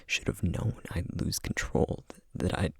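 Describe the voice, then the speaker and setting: A man plays a guilt-ridden, menacing creature of the night in an audio roleplay. His voice is a very breathy, husky half-whisper, close and dry. He speaks slowly and tersely, with bitter, brooding weight. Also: low-pitched, no echo, full character voice. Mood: bitter, angry. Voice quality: breathy, gravelly near-whisper. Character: brooding, guilt-ridden male essence eater, a vampire-like monster. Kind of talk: ASMR roleplay audio drama acting.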